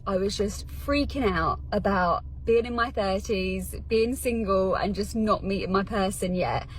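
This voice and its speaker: A woman's voice: mid-range voice, measured pace, rough recording. A thirty-year-old woman with a British accent talking casually and confessionally, as in a personal social media vlog.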